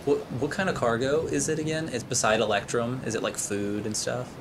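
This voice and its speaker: A man's voice: medium pitch, a little fast, background noise. A man playing a tabletop roleplaying game, asking questions casually. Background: background music.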